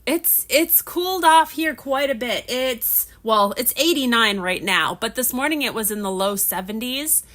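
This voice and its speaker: A woman's voice: fairly high pitch, expressive, unhurried, few pauses, a bit bright. Chatty nasal woman streaming live. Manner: rambling, chatty, restarts often while working on crafts. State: nasal from allergies. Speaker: livestream host. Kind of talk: casual livestream chat.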